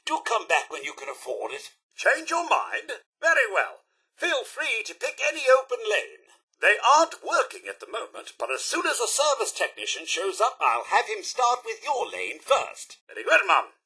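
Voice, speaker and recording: A man's voice: very high-pitched, huge pitch swings, normal speed, pushed and bright, breathy edge, exaggerated voice. A man voicing a prim, polite robot butler in a video game, with a British accent and a formal, theatrical, dryly sarcastic manner. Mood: courteous, attentive. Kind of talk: video game character voice lines.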